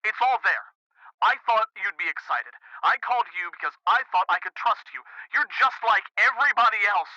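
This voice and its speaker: A man's voice: high-pitched, lively intonation, a little fast, sharp tone, dry recording, full character voice. A man playing a frantic caller on a thin phone line. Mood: desperate. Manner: pleading, frantic and hurt. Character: desperate male caller with alien proof.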